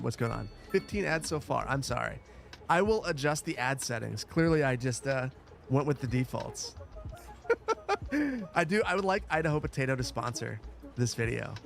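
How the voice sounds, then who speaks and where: Man streaming a game, gravelly voice, mid pitch, self-mocking chatter, frequent chuckles, faint music behind. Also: fairly bright tone. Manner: chuckles often, self-mocking, halting with fillers and restarts. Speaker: livestreamer playing a city-building game. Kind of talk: casual live-stream chatter, reading chat aloud.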